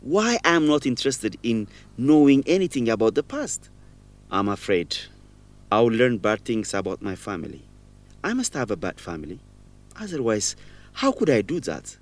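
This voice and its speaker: A guilt-ridden young man in a radio drama. His delivery is earnest and halting, with a mid-range pitch that swings widely as he confesses. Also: big pitch swings. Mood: anguished, regretful. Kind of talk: educational radio drama acting.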